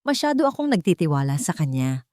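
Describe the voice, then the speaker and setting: A woman's voice: low voice, big pitch swings, fast, darkish tone, no echo. An anxious old mother in an audio love drama, acted out by a woman narrator, pleading and fearful. She is protective and trusting, and the recording is dry and close. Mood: anxious, confiding.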